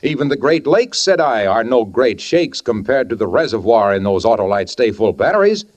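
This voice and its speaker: A man's voice: medium pitch, very animated, moderate pace. A man playing an earnest, long-winded salesman in a 1940s radio comic advertisement, folksy and emphatic. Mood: salesmanlike. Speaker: announcer and sponsor pitchman. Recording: dull vintage radio broadcast recording.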